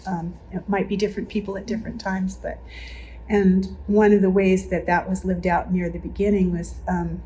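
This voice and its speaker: A woman, a longtime church member, telling a personal story in an interview in an earnest, measured way. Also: medium pitch, level tone, warm tone, a little hiss or hum, low-quality recording.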